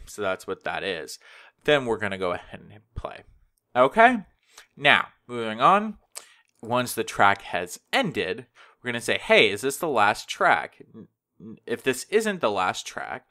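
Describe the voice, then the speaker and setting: A man's voice: fairly low pitch, pushed and bright. A man presenting a coding tutorial in a casual, informal way, talking directly to the viewer as he walks through things. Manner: informal, addresses viewers directly, voices code logic as speech. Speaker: web development tutorial presenter. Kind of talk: casual screencast code walkthrough. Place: voice-over to a screen recording.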